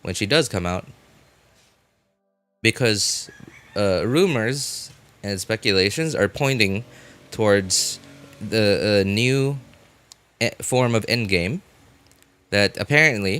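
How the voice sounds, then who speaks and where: A man live streaming a game, chatting casually with viewers and thinking aloud in halting, informal bursts. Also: on the low side, bright, forceful tone, clean tone. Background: music.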